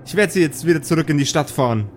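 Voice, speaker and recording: A man's voice: a little high, fast talker, a little hiss or hum, close to an everyday voice. Man playing an exasperated, scolding local woman. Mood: annoyed, resigned. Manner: sighing, scolding, put-upon. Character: exasperated local fishmob woman, hasty and grudging.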